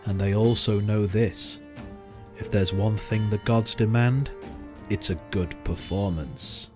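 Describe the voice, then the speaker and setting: A man delivering dramatic scripted trailer narration in a measured, theatrical manner. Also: on the low side, steady tone, over music.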